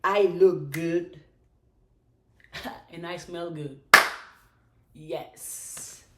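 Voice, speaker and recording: A woman hosting a motivational live stream, chanting affirmations and answering questions in a slow, repetitive way. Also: on the low side, steady tone, heavy background noise. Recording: echoey room.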